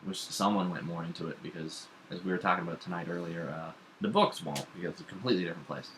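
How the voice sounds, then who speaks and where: Deep, bright-voiced young man, chatting casually. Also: fast, a little hiss or hum. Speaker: vlog co-host. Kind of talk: casual chat about comics and horror films. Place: home kitchen.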